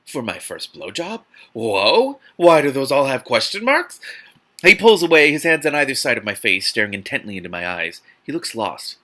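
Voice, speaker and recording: A man hosting a dramatic book reading, animated and theatrical, breaking off into exasperated, incredulous, sarcastic commentary. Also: medium pitch, normal speed. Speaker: host reading a novel aloud with commentary.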